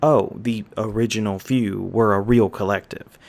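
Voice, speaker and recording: A man's voice: fairly low pitch, fairly even intonation, normal speed, gravelly, a little mellow. A man playing an easygoing, folksy magi guide, explaining patiently in an ASMR roleplay. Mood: storytelling, thoughtful. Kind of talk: ASMR roleplay, conversational storytelling.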